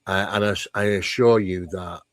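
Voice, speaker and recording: A man with a fairly low voice talks like a researcher being interviewed. He explains things conversationally and at length, leaning on key words and posing rhetorical questions. The sound is close and dry, with very little room to it. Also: steady tone, normal speed, fairly bright tone, no room sound. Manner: emphatic, discursive, poses rhetorical questions. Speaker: interview guest, paranormal researcher. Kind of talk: conversational explanation of paranormal topics.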